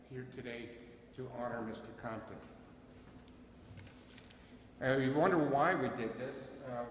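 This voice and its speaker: Loud guy giving a ceremony speech, stops and corrects himself a lot, mid pitch, faint room hum behind. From Bucks County, Pennsylvania. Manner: loud, halting, with many uhs and self-corrections. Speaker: ceremony emcee and CAP wing commander. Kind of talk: ceremony address. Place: chapel. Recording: echoey chapel with long reverb.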